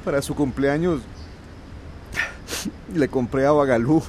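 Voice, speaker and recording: A man's voice: mid-range voice, darkish tone, slight background noise, lightly acted. An actor playing a middle-aged Central American country man, plaintive and mourning his beloved cow in a radio drama. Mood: sorrowful. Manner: slow, plaintive, pleading.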